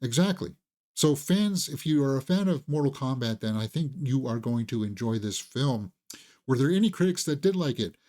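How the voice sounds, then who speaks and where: A man's voice: medium pitch, expressive, moderate pace, darkish tone. A man hosting a casual, conversational film review, enthusiastic and opinionated, sometimes repeating words as he thinks aloud.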